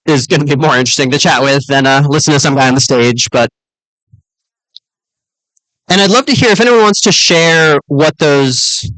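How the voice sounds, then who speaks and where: Male conference speaker, easygoing and chatty, mid-pitched voice, dry close mic. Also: a bit bright, no echo, rough recording. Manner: casual, conversational, with fillers. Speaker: keynote speaker. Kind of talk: conference keynote to teachers. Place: conference room.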